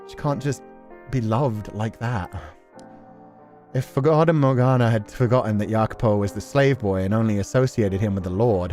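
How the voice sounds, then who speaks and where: A man's voice: medium pitch, a little mellow. Casual male streamer over background music. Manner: informal, emphatic, conversational with slangy asides. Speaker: streamer reacting to a story game. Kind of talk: casual rambling commentary, reading and answering chat.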